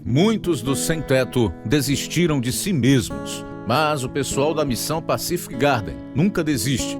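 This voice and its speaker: A Brazilian male narrator with a medium-pitched voice introduces a radio drama. His delivery is scripted, formal and solemn, set over clear guitar music. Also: lively intonation, a little slow.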